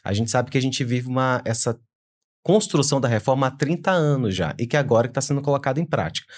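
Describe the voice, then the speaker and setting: A young man from Manaus talks as a lawyer guest on a business podcast. He explains in a measured, steady way, setting out his points at an even pitch. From Manaus, Amazonas, Brazil. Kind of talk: podcast interview.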